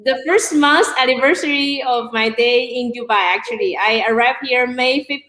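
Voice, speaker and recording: A woman from China, whose first language is Chinese, speaks English with a Chinese accent as a talk show guest. She is soft-spoken and hesitant, with fillers. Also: a little high, normal speed, reverberant, low-quality recording. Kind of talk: casual interview.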